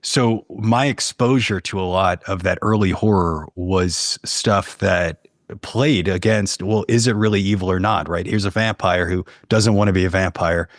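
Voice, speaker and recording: Very low-voiced, reflective man from New Orleans. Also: unhurried, dry recording, studio quality. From New Orleans, United States. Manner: hesitant, reflective, thinks aloud. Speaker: podcast guest, horror fiction writer. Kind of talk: casual chat.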